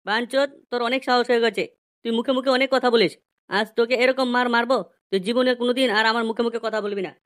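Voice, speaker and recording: A woman acts a crude, hard-drinking village husband from a comedy cartoon. The voice is artificially high and races along very fast, coarse and blustering, in a dry sound. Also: fairly even intonation, dry recording, close to an everyday voice. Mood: belligerent. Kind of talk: amateur comedy cartoon dubbing.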